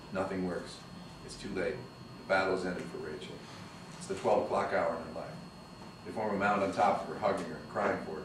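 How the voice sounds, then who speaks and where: A man reading the stage directions aloud at a screenplay table read. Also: low voice. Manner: steady, even reading of action lines. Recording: echoey room from far off with noise.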